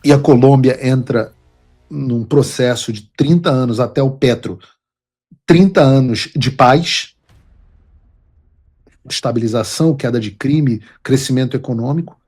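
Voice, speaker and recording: Husky-voiced male political commentator. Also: mid-range voice, measured pace, pauses often, a little mellow. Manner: emphatic, lecturing, with restarts. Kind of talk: opinion commentary.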